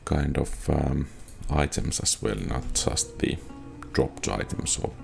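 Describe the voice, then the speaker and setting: A man doing casual, low-key let's play commentary, muttering his thoughts hesitantly as he plays. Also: slow, vocal fry, faint music behind. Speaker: gaming YouTuber.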